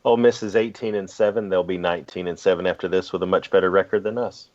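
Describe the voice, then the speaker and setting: Deep voiced guy on a sports podcast, short casual comments, muffled lo-fi audio. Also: fairly even intonation, fast talker, a little mellow.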